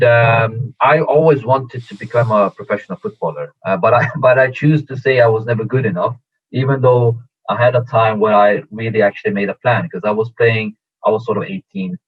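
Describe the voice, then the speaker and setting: A man who grew up in Sweden, a football agent answering interview questions in a measured way with many fillers and restarts. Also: on the low side, husky. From Iran, raised in Sweden. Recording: tinny phone-like audio.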